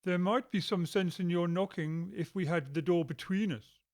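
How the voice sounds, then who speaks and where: A dull stubborn frog footman. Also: high-pitched. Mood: stolid. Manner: slow, flat, unbothered, pedantic. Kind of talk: audiobook drama acting.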